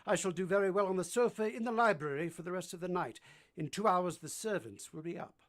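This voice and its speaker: Give me a man playing a brooding middle-aged gentleman for an old-time radio drama, intense and commanding, with halting delivery. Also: high voice, expressive. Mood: guarded, commanding. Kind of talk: vintage radio drama acting.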